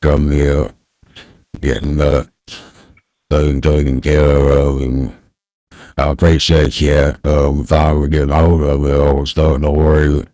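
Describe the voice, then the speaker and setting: An extremely deep, processed voice of a rambling old backwoods Southerner on a prank call. He slurs and mumbles affably with little melody, repeating himself as he goes. The sound is close and dry, run through a voice changer. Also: flat delivery, dark tone, no room sound. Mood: polite, muddled. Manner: rambling, halting, repeats words. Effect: pitch-lowering voice changer. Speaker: scam baiter. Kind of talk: prank phone call in character.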